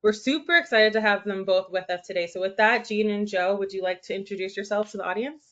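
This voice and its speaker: A woman's voice: mid-range voice, quick pace, a bit bright. A woman hosting a webinar over a video call, reading introductions in an upbeat, enthusiastic way. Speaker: webinar host. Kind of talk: scripted introductions. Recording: dull lo-fi webinar audio.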